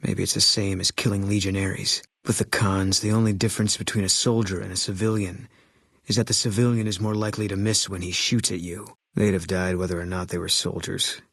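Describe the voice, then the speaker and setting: A haunted former soldier turned sniper in a video game, a man with a heavy past. The voice sits low and carries a husky grain, with a dry, close sound. Every line comes out terse, clipped and grim. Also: level tone, a little fast, a bit bright, no room sound, heavily acted. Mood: grim, brooding. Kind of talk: video game character dialogue.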